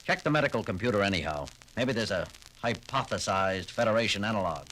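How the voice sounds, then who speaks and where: A man's voice: on the low side, expressive, quick pace, a bit bright, slight background noise, poor audio. An actor playing a decisive, authoritative male starship captain in a 1970s audio drama. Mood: commanding. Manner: urgent, authoritative.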